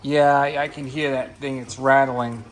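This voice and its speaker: A man giving a casual, unscripted hands-on demonstration as a gear hobbyist, in a halting, repetitive, rambling way. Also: mid-range voice, level tone, a little hiss or hum.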